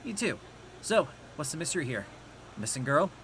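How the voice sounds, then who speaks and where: Bright, breezy coffee shop manager. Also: male voice, on the high side, big pitch swings, moderate pace, a little hiss or hum. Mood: curious, casual. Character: Spencer, breezy, snide male coffee shop assistant manager. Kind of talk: audio drama dialogue.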